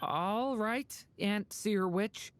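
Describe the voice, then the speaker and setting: Sweet, courteous boy for a game: unhurried, sincere delivery over faint music. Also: mid-range voice, slight background noise. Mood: shy, earnest. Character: polite, earnest young boy. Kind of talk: scripted game voice acting.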